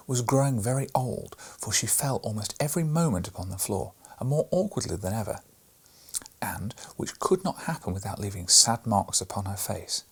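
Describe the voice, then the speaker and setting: A man reading a bedtime storybook aloud in a soft, hushed delivery with a breathy voice. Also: lowish voice. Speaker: storybook reader.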